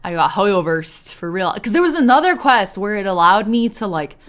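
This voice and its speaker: A woman streaming a video game, chatting casually in an animated, slangy way and reacting aloud. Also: mid-range voice, normal speed. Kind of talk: casual live stream chat with gameplay reactions. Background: game background music.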